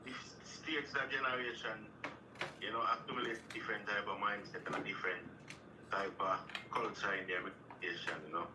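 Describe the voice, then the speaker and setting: A man's voice: fairly low pitch, a little fast. Man on a noisy video call. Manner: relaxed, storytelling, says "you know" often. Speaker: guest artist. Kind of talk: casual interview over a video call. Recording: echoey, distant, noisy call line.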